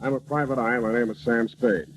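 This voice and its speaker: An actor playing a cocky, wisecracking hard-boiled private eye, narrating haltingly and deadpan in a radio parody. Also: male voice, medium pitch, over loud music, lightly acted. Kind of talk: old-time radio detective parody. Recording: muffled, noisy old radio broadcast.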